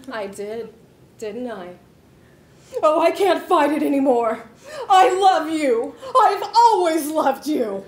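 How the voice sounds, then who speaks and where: A woman's voice: high-pitched, lively intonation, slow pace. A woman playing a melodramatic, lovestruck woman turning into a plant in a comedy table read, in an echoey room. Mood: resigned, lovestruck.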